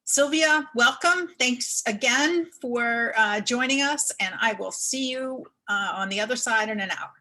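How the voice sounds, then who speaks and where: A woman host formally introducing a guest speaker on an online lecture, somewhat halting with fillers. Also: a little high, bright, forceful tone, rough recording.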